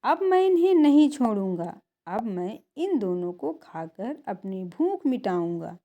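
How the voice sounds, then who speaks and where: A woman's voice: medium pitch, big pitch swings, a little slow, dark tone, pitched below the performer's own voice. A woman voicing a menacing male eagle who guards his orchard, gloating and threatening in a children's cartoon story. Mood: angry, threatening.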